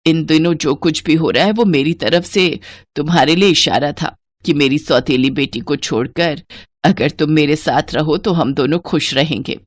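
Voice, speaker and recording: A scheming middle-aged stepmother, played by a woman narrator in a moral story. She speaks low, coy and insinuating, with shameless desire. The sound is dry, with no room to it. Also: normal speed, no room sound. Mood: seductive. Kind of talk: Hindi moral-story cartoon voice acting.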